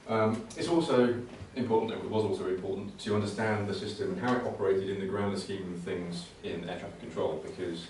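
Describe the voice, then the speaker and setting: A man presents technical material informally, in a low voice that stays quite flat. He is hesitant and conversational, doubling back on words as he explains. The recording is heavily echoey, as if from a venue. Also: brisk, hardly any pauses. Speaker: presenter at a computing conservation talk. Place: indoor talk venue. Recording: very echoey room, distant mic, faint noise.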